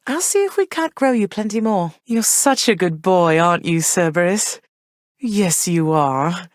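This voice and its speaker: Gentle, motherly underworld queen for a game; low-pitched, warm, gracious, dry close studio read. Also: lively intonation, measured pace, no echo. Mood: affectionate. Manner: warm, gracious, softly reassuring. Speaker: game character. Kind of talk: game voice lines.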